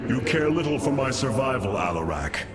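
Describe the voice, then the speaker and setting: Noble alien war leader male actor: solemn, declamatory, mid-pitched with wide swings, loud game score behind. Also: big pitch swings, moderate pace, loud music behind the voice, natural voice. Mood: grave. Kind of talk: video game cutscene acting.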